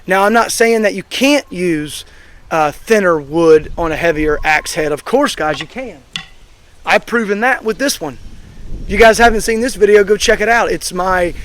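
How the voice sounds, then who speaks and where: A man in his thirties with a slightly high-pitched voice, hoarse as he gets over being sick. He talks in a loose, opinionated ramble with plenty of ums and uhs, hosting an outdoor review vlog. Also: normal speed, fairly bright tone. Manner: rambling, opinionated, frequent fillers. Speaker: axe throwing channel host. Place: outdoors at a throwing range.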